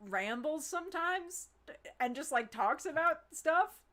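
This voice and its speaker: Higher-voiced woman chatting off the cuff. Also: animated, unhurried, a bit bright. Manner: rambling, frequent "like". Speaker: show creator on a cast Q&A. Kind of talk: casual group chat, unscripted.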